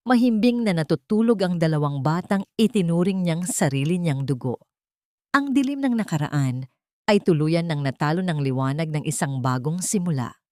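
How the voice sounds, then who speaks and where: A woman narrating a scripted romantic drama in a sentimental, measured manner. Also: lowish voice, darkish tone, dry recording, clean studio sound.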